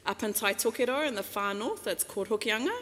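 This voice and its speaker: A woman with a New Zealand accent gives a relaxed talk at a mic stand, her voice sitting in a middle register. She speaks in a chatty way, laughs easily, and trails into ums and restarts. Long reverb from the hall hangs behind her words. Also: medium pitch, quick pace. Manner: chatty and warm, laughs often, many fillers and restarts. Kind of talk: casual public talk with a slideshow. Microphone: microphone on a stand.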